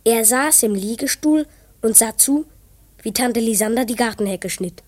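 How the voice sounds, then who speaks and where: A woman's voice: fairly high pitch, normal speed, lightly acted. A woman voicing an eager, confiding twelve-year-old boy detective who narrates a children's radio play. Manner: confiding, excitable, whispered asides.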